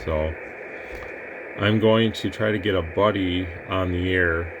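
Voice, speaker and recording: A man testing radio gear in a relaxed, rambling way, breaking into mic checks and counting. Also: deep voice, slow pace, background noise. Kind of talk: casual product demo.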